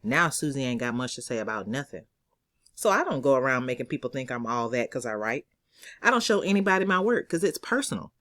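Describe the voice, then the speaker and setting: A woman reading her own novel aloud as expressive first-person narration, in a conversational, sassy storytelling manner. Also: very deep voice, a little fast, no room sound, studio quality.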